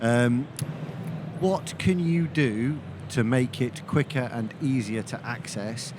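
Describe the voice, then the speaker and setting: A man's voice: medium pitch, takes it slowly. A man in the audience of a live seminar, informally putting a question to a panel. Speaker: audience member asking a question. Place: live trade show seminar. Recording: clear background noise.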